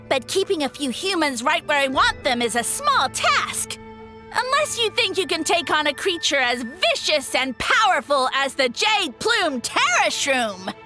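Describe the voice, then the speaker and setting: A woman's voice: high-pitched, pushed and bright, exaggerated voice. A woman voicing a small, boastful, easily flustered male mushroom god in a video game. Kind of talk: animated video game acting. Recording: faint game music behind.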